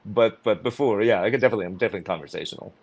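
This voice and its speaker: A man's voice: on the low side, racing, crowd noise. A man from China, a guest actor chatting casually in an interview, laughing easily and restarting his sentences.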